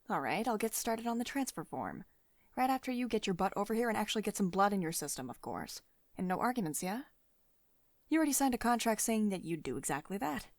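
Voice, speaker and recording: An intimate ASMR roleplay of a woman playing a powerful female tech boss, protective and devoted beneath her authority. Her voice sits at an ordinary female pitch and is recorded very dry, with no room around it. She speaks earnestly and with control, as if to one cherished employee. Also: dry recording, lightly acted. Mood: pleased, gently bossy. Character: commanding, protective female tech CEO, secretly devoted and tender. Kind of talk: ASMR girlfriend roleplay, intimate acted monologue.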